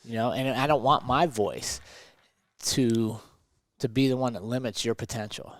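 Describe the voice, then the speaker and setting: A man's voice: medium pitch, normal speed, no echo. A man talking conversationally as a motivational podcast host and coach, emphatic and fond of rhetorical questions.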